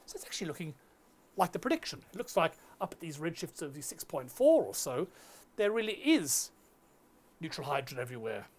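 A man's voice: fairly high pitch, sing-song, brisk, slightly rough. Middle-aged male lecturer explaining step by step. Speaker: astronomy lecturer. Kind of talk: conversational science lecture in a two-person dialogue.

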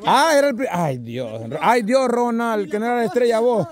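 A man's voice: very high voice, animated, moderate pace. A man with a Salvadoran accent hosting and refereeing outdoor games, teasing and urging players on with repeated phrases. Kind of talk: lively game commentary and banter. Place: outdoor park with dirt ground and trees. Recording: outdoors amid a loud crowd.

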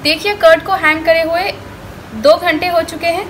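A woman host giving calm step-by-step recipe instructions in a voice-over, mixing in English cooking words. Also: very high voice, normal speed, a little hiss or hum. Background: background music.

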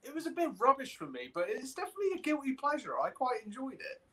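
A man's voice: very high voice, huge pitch swings, fast, pushed and bright. A young man chatting casually as a podcast co-host on a video call. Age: young adult. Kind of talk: casual chat. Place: indoor room on a video call.

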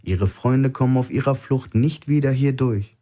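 A man's voice: mid-range voice, moderate pace, dark tone, pitched above the performer's own voice, natural voice. Shrewd male hostage for thriller audiobook, played by a male narrator: raised voice, insistent, needling. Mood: pressing, provoking. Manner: insistent, reasoning, provoking. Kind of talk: audiobook character acting.

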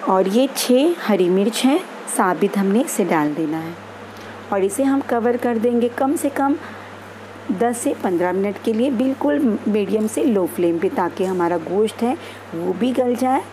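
A woman hosting a home cooking channel, calmly explaining each step of a recipe in an easygoing, instructive way. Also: mid-range voice, unhurried, a little hiss or hum, rough recording. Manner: easygoing and instructive, repeats steps. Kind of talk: home cooking tutorial voice-over. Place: home kitchen.

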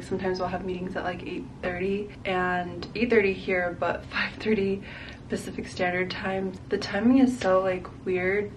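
A woman's voice: fairly low pitch, far from the mic, noisy background. A young woman in her twenties talking casually to her camera in a small hotel room, rambling and saying "like" a lot. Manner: chatty, rambling, heavy use of "like". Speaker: vlogger. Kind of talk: casual vlog chat to camera. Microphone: handheld camera close up.

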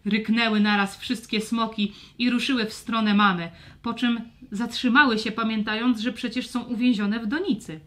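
Woman reading a kids' fairy tale, fairly high voice, expressive storyteller. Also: normal speed, hardly any pauses. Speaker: narrator. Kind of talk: expressive scripted children's story narration.

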